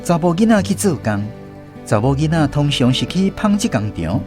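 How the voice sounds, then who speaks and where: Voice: medium pitch, big pitch swings, normal speed, close to an everyday voice. An actor with a Taiwanese accent narrating a radio drama in the first person, as a grown-up recalling a village childhood. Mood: reflective storytelling. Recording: music under the voice.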